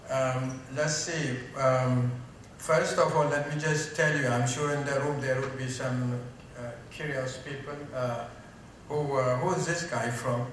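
A man's voice: medium pitch, steady tone, unhurried, fairly bright tone, slight background noise. A middle-aged man with an Ethiopian accent giving a hesitant speech at a tribute event, speaking into a stand mic on stage, with frequent fillers. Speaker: interim business school dean. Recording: echoey hall, recorded from a distance.

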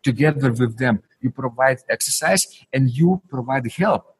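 Husky, halting man hosting a podcast. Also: mid-range voice, lively intonation, dry recording, rough recording. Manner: enthusiastic, halting, fillers. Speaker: podcast host. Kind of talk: interview host.

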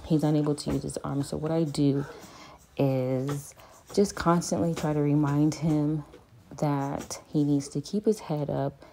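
A woman in her thirties, a parent vlogging home therapy with her child, speaking in a calm, monotone, matter-of-fact way from a phone camera in a small playroom. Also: sits low, unhurried, creaky, a little hiss or hum. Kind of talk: home therapy vlog, talking while working with her son. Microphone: phone camera on a stand a few feet away.

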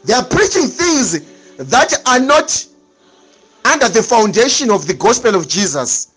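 A man's voice: extremely high pitch, big pitch swings, a little slow, fairly bright tone, husky, soft background music. A man preaching a sermon, emphatic and repetitive, with dramatic swings in delivery.

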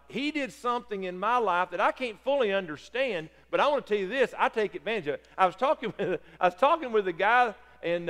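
Preacher guy, middle-aged, loud and excitable, kinda high voice, big church reverb. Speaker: pastor. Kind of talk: sermon. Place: large church sanctuary. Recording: long reverb of a church hall.

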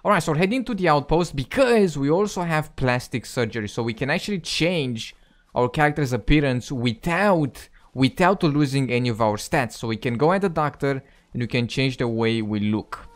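A young man hosts a gaming video in a casual, chatty way. His voice sits at a middle pitch as he comments on the gameplay. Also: animated, normal speed.

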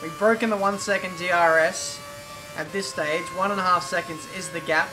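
A man with a British accent talking over his racing game in a chatty, excitable play-by-play, his voice a little croaky. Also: high voice, normal speed, background noise. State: slightly croaky. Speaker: gaming YouTuber.

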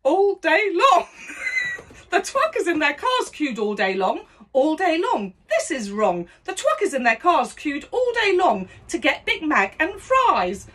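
A woman in her fifties with a high, lively voice plays a prim but cheeky schoolteacher, singing and laughing. She takes her time and gives her words a singsong swing. She is recorded close up on a phone or webcam in a small room at home. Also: a little slow. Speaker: comedy host. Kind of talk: rude comic song and story parody. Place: small decorated room indoors.

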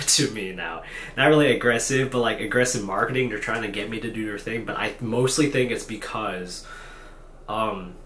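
A man's voice: fairly low pitch, fairly bright tone, a little hiss or hum. A tired man in his twenties talking unscripted to a camera in a small hotel room, rambling and self-deprecating with lots of fillers and restarts. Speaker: vlogger. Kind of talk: unscripted talking-head vlog. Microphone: camera at desk distance. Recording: echoey room with faint ambience.

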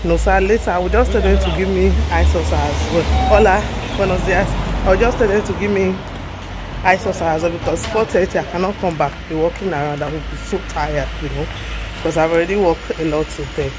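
A woman's voice: fairly low pitch, fairly quick. A woman in her thirties with a Nigerian accent, vlogging casually to a phone camera while walking outdoors, often sighing and laughing. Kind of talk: casual vlog narration. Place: outdoors on city streets. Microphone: phone held at arm's length. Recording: noisy street with traffic.

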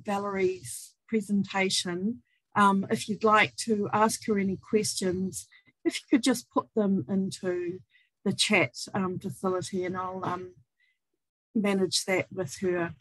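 A woman hosting a webinar, introducing the speaker in a halting way with many fillers. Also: mid-range voice, steady tone, rough recording. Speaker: webinar host. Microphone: video call.

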